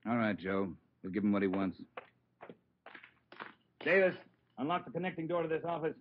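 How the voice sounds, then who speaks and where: An actor playing a firm senior police officer, brisk and businesslike, giving clipped orders in an old-time radio drama. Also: male voice, mid-range voice, sing-song, a little hiss or hum, natural voice. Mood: firm, controlled. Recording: tinny phone-like old radio recording.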